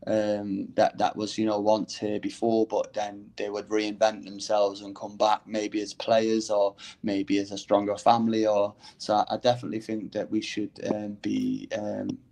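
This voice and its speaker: A man with a Manchester accent and a slight Irish lilt, talking in a casual discussion, pausing often with ums and restarts. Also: fairly low pitch, monotone, gravelly, dark tone. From England. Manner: hesitant, many ums and restarts.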